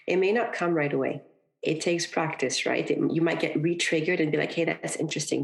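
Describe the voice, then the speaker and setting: A woman from Egypt, a guest on a podcast, talking earnestly and reflectively in a relaxed interview, often adding "you know" and "right". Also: low voice, vocal fry. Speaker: podcast guest, parenting coach. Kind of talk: conversational interview.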